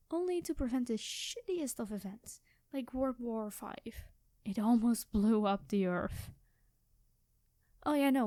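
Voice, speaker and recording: A woman's voice: a little high, mellow, no room sound. A woman playing a cheeky, secretive young time traveler in a casual, chatty ASMR storytelling roleplay. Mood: casual, explaining. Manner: casual, chatty, conspiratorial, playful.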